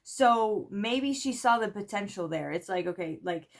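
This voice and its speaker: A woman's voice: mid-range voice, unhurried. A woman in her twenties from Spain, an English speaker, telling a rambling story to camera with lots of "like" and "you know". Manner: chatty, rambling, full of "like" and "you know", lively quoted voices. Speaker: PhD student vlogger.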